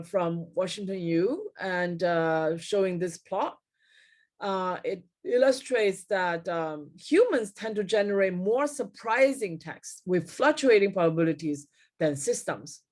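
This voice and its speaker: A middle-aged woman giving a technical keynote lecture over slides on a video call, pausing often with fillers and correcting herself mid-word. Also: fairly low pitch, darkish tone. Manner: frequent fillers and self-corrections. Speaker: keynote speaker. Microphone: webcam at desk, video call.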